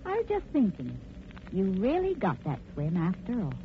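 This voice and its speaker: Casting: sassy gal companion to a sleuth, playful and animated, old phone-like radio sound. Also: female voice, medium pitch, moderate pace. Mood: teasing curiosity. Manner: teasing, breezy. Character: sassy, curious female companion of the detective. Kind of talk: 1940s radio detective drama acting. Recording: tinny, noisy old radio recording.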